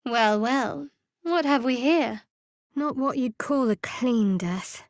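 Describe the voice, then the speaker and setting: A woman voicing a guarded, sardonic young cleric of a dark goddess in a fantasy video game, with a dry, wry, measured delivery. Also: high voice, dry recording.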